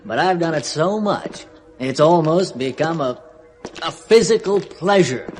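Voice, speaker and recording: An actor playing a trained, emotionally detached astronaut in a 1970s radio drama, speaking flat and matter-of-fact over faint music. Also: male voice, on the high side, a little slow.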